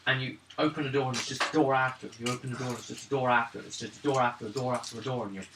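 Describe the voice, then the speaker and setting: A man acting as game master, improvising the narration in a halting, casual way, with swearing and throat clearing. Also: mid-range voice, fairly even intonation. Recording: roomy, distant mic, faint noise.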